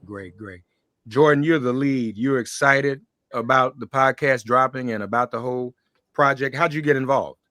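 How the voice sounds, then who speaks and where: A man's voice: medium pitch, normal speed, bright, forceful tone, no room sound. Male interview host, close and dry. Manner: welcoming, addresses guests directly by first name. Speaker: podcast host interviewing guests. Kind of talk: conversational show introduction and interview.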